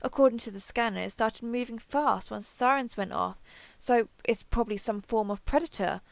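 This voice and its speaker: Female-performed alien explorer captain for an audio drama log: tough, cocky, wry, fry-edged voice, very dry close sound. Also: fairly high pitch, normal speed, no echo. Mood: alert, defiant. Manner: wry, gritty, self-talking, mildly profane.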